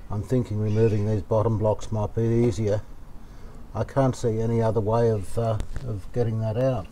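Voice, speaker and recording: An older man calmly talking through a restoration job outdoors, thinking aloud as he works. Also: lowish voice, a little hiss or hum, rough recording. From Australia. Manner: thinking aloud, calm and unhurried, plain-spoken with fillers. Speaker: radio restorer, channel host. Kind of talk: casual hands-on workshop narration. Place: outdoor verandah in the garden.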